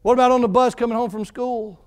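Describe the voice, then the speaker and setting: A man in his forties preaching a fervent sermon as pastor, building on repeated phrases and swinging between quiet and loud passages. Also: extremely high pitch, fairly even intonation, fast, clean tone. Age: 40s.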